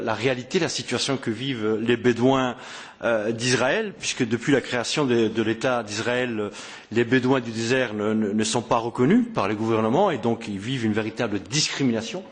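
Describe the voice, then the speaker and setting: A man hosting a press conference, speaking in a halting way with many fillers and repeated words. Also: mid-range voice. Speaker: press conference host, member of parliament. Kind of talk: hesitant spoken welcome speech. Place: press room.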